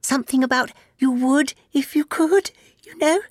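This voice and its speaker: A man's voice: very high-pitched, normal speed, no room sound, studio quality, voice pushed up. A man voicing a tiny, plaintive gnat in a storybook, with a very small, thin, sighing voice. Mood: teasing.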